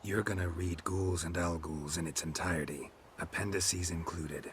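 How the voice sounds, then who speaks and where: A man playing a very deep, terse witcher. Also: moderate pace, a little hiss or hum, close to an everyday voice. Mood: dry. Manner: terse, dry, deadpan. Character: gruff male witcher, dry and teasing mentor. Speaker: witcher, mentor to a young girl. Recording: game audio with faint ambience.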